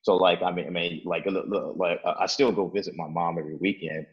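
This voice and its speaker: A young man with a very deep, low voice talks through an interview question in a loose, meandering way, peppering his sentences with "like" and "uh" and restarting often. He's from Chicago and speaks from his apartment. The recording sounds a bit muffled and dull. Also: steady tone, a little fast. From Chicago, Illinois. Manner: rambling, many fillers like "like" and "uh", restarts. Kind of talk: casual interview, storytelling.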